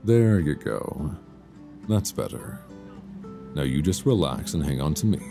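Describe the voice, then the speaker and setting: A man playing an affectionate, reassuring boyfriend in an intimate, casual ASMR roleplay, speaking softly and soothingly close to a binaural mic. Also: very deep voice, expressive, over music, exaggerated voice. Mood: gentle, reassuring. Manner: soft, intimate, unhurried, soothing. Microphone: close binaural microphone. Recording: faint background noise, binaural.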